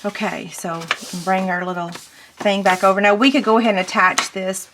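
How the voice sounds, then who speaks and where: Chatty crafter woman with a squeaky voice. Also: fairly even intonation, normal speed, a bit bright, a little hiss or hum. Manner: chatty, talks through each step with ums. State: squeaky voice from a cold or strain. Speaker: paper craft demonstrator. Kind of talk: casual crafting tutorial.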